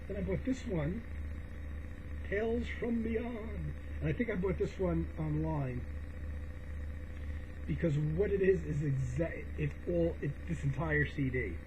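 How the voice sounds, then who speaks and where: Middle-aged man, slightly high voice, casual video host, rambling and hesitant, noisy home recording. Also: a little slow. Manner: rambling, hesitant, often backtracking. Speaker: video host showing a personal CD collection. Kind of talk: casual unscripted show-and-tell chat.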